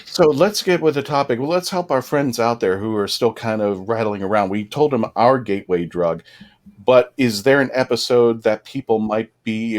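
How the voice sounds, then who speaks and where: A man hosting a casual fan podcast discussion, talking in a chatty, enthusiastic way. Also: lowish voice. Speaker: podcast host.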